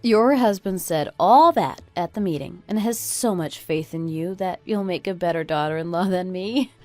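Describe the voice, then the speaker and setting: Radio drama daughter-in-law, played by a woman: sharp-tongued, exasperated female, slightly low register, dry close sound over music. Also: normal speed, no echo, close to an everyday voice. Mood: pointed, resentful. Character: fed-up, sharp-tongued oldest daughter-in-law, a divorcing breadwinner. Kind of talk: scripted radio drama acting.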